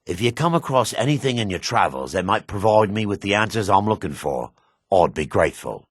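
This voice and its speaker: Gruff dark elf man from a fantasy game, really deep voice, expressive and swings around a lot. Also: a little fast, no room sound, studio quality. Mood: earnest, troubled. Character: male dark elf townsman of a fantasy world, gruff and wary. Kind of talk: fantasy video game voice acting.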